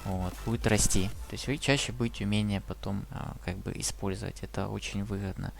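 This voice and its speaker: A man's voice: lowish voice, steady tone, brisk, gravelly. A young man giving casual gameplay guide commentary, rambling with lots of fillers. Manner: rambling, many fillers like "вот" and "то есть". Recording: music playing under the voice.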